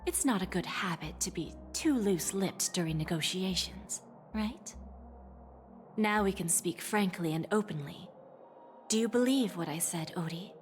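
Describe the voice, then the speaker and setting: An actress playing a poised, calculating senior businesswoman in a video game, composed and coolly assured. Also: on the low side. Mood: smooth, controlled. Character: poised, calculating senior female corporate negotiator.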